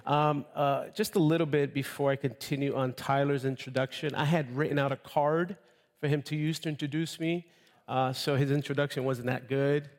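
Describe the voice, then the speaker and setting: A man's voice: mid-range voice, steady tone, moderate pace. Man preaching in a reverberant hall. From Honduras. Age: late 40s. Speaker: visiting preacher. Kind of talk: informal sermon to a congregation. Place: large church room, preaching to congregation. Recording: echoey hall, long reverb.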